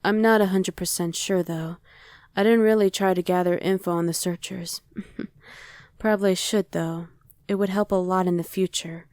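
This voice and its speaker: A woman's voice: on the low side, steady tone, normal speed, darkish tone, dry recording, close to an everyday voice. A woman playing a casual young female survivor of a demon invasion in an ASMR roleplay. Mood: casual, explaining. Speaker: voice actor.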